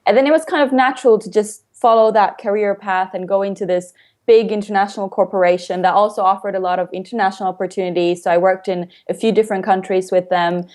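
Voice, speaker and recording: A woman's voice: mid-range voice, steady tone, fast talker, a little mellow. A woman from Finland with an international upbringing, explaining things casually and chattily as an interview guest and coach.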